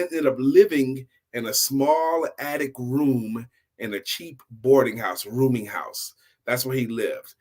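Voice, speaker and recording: Middle-aged man telling an emphatic story. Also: mid-range voice. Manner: emphatic, repeats key phrases for effect. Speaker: storytelling host, sales coach and speaker. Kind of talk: informal motivational storytelling.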